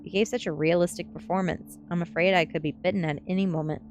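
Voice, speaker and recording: A woman's voice: lowish voice, fairly even intonation, fast, slight background noise, close to an everyday voice. A woman voicing an impulsive, jumpy teenage goth girl in a story, with eager, dramatic delivery. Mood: nervous pleading.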